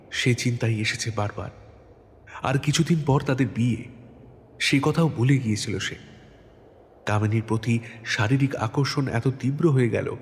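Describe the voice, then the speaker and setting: A man giving a dramatic scripted narration of a horror story. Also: mid-range voice. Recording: long reverb, background noise.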